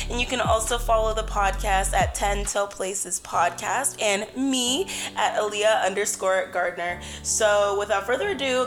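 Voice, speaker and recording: Bright, bubbly woman hosting. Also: mid-range voice. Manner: bubbly, laughs often. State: losing her voice. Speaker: podcast host. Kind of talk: casual interview chat.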